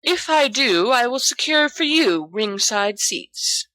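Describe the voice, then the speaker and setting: A woman's voice: fairly high pitch, lively intonation, slow, bright, forceful tone, no room sound, voice pushed up, full character voice, poor audio. Woman reading as bookish teen boy, dry and understated, a bit sardonic, very dry sound. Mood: dry irritation. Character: reserved, dryly sardonic, bookish teenage schoolboy. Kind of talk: audiobook character dialogue.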